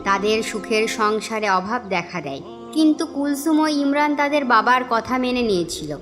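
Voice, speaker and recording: A woman's voice: a little high, lively intonation, moderate pace. A woman narrator reading a scripted story for a cartoon in a storytelling style. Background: music.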